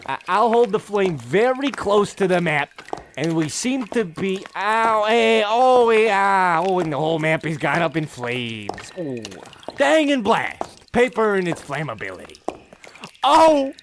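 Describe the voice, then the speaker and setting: A pompous American husband abroad played by a man in a comedy radio play, full of bluster. His voice sits high and swings widely as he exclaims, with faint noise behind it. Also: big pitch swings, measured pace, heavily acted. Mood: flustered, indignant. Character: pompous, blustering American tourist husband, boorish penny-pincher.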